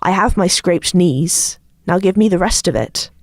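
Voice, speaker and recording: A woman, co-hosting a poetry podcast in a halting, hesitant way. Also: on the low side, expressive, dry recording. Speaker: podcast co-host and poet. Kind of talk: casual podcast chat and poem reading.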